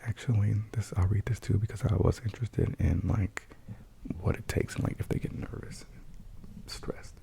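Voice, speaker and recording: A man whispering and speaking softly as he reads aloud for ASMR, hushed and gentle, with a breathy sound. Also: on the low side, normal speed. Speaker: ASMR host. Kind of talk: ASMR, whispered and soft-spoken article reading.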